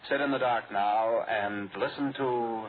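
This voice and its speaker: A man's voice: medium pitch, unhurried. A man announcing a program formally in old-time network radio style. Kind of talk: 1930s-40s radio announcing. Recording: tinny, hissy old radio broadcast.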